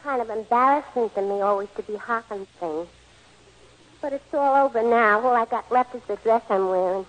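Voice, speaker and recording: An actress playing a naive, good-natured gambler's sweetheart in a 1940s radio comedy, guileless, slangy and ungrammatical. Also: female voice, on the high side, moderate pace, lightly acted. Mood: guileless, rueful. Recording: slightly noisy 1940s radio broadcast.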